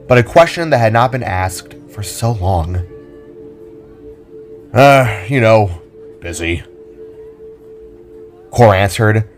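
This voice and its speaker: A man reading scripted narration for an audio drama. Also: on the low side.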